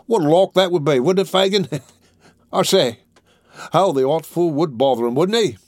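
A man voicing an excitable, cheeky young male pickpocket in a storybook reading, emphatic and slangy. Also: brisk, dry recording, studio quality, close to an everyday voice. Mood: delighted. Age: young adult. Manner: high, emphatic, slangy complaining and exclaiming.

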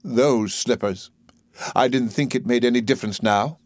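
A man's voice: mid-range voice, moderate pace, no echo, voice pushed up, close to an everyday voice. A man voicing a proud young working-class woman, emotional, bitter and defiant, in a play reading.

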